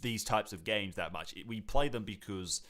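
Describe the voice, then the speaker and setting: A man co-hosting a podcast, chatting casually and telling gaming stories in an animated, emphatic, rambling way. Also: on the low side, moderate pace. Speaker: podcast co-host. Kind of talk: casual chat about multiplayer games.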